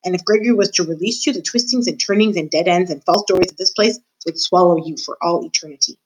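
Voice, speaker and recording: A woman's voice: on the low side, fast, slight background noise, lightly acted, rough recording. Woman voicing a grandiose, menacing old jailer for a storybook read-aloud. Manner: menacing, theatrical, speaks of himself by name. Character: old male dungeon jailer, creepy and self-important.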